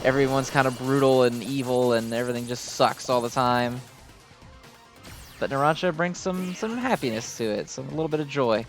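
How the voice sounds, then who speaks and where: A man's voice: medium pitch, moderate pace, faint music behind. A man giving casual, unscripted gameplay commentary in a rambling, informal, self-deprecating way. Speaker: gaming video host.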